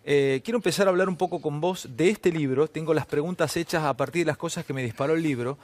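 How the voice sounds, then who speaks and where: A middle-aged Argentine man hosts a TV interview, rattling off questions quickly and cutting in often. His voice sits fairly high and sounds dry and close. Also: no room sound. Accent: Argentine Spanish. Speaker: TV host.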